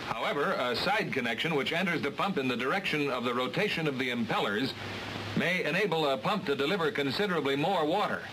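A man's voice: on the high side, fast talker. Man narrating an old training film. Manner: steady, matter-of-fact instruction. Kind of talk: scripted instructional narration. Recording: hissy old film transfer.

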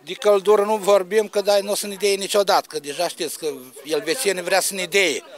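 A man's voice: high voice, steady tone, some chatter behind. A man in his fifties, a market trader airing complaints in a street interview on a handheld microphone. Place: market stall in open air. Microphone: handheld reporter microphone.

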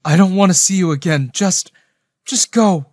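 Guy doing a young army nurse in an audio story, bereaved, forceful, voice pitched up, dry sound. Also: normal speed, no room sound, close to an everyday voice. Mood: furious, anguished. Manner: forceful, emphatic, accusing.

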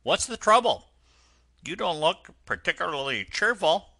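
A man's voice: on the high side, big pitch swings, measured pace, gravelly, breathy edge, dry recording, clean studio sound, voice pushed up, full character voice. A man doing a hearty burly engine driver. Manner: hearty and loud, rustic turns of speech. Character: burly, kindly male engine driver from the country.

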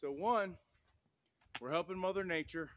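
A man's voice: high-pitched, normal speed, dry recording. A man, talking to camera in snowy woods in a folksy, preachy way and saying "y'all" a lot. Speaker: off-grid vlogger. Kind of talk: outdoor vlog talk to camera. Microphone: camera on tripod, a few meters away.

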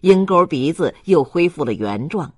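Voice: medium pitch, lively intonation, normal speed, breathy edge, no echo, clean studio sound. An audiobook narrator reading a novel in a scripted storytelling style.

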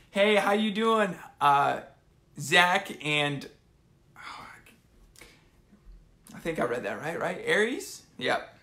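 A man in his twenties reads aloud to children in a casual, unhurried way. His voice is bright and swings up and down expressively as he talks to the kids. It sounds like a home recording made in a small room. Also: on the high side. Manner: animated, informal, addressing children. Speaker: host of children's storytime. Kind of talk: casual live storytime, reading aloud to kids. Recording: roomy home phone recording.

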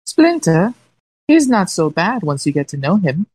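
Woman as a lively, chatty lab scientist. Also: on the low side, a little slow, breathy edge, no room sound, exaggerated voice. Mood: casual, teasing. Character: chatty female lab scientist who overshares. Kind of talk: amateur comic dub acting.

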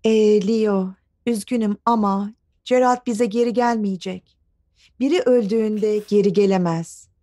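A woman voicing a kind, patient grown-up woman who explains things gently to small children in a cartoon dub. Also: mid-range voice, steady tone, unhurried, no echo, natural voice. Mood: gentle, regretful. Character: kind, patient female preschool teacher or mother. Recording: studio dub over background music.

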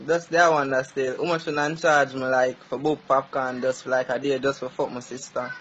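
A man with a Jamaican accent and a bright, forward voice talks casually as a prank caller. The recording is slightly noisy, like a phone call. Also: medium pitch, little pitch movement, normal speed. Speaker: prank caller, show host.

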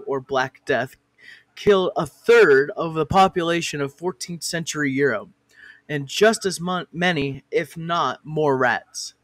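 A man's voice: a little high, fairly bright tone, dry recording. A man narrating a comic fan parody of an educational cartoon, breaking off to swear and argue with a director.

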